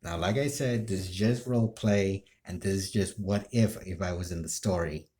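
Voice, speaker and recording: A man's voice: deep voice, moderate pace, a little mellow. A man talking casually and informally to viewers about his own role play, rambling a little. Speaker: amateur role-play video creator.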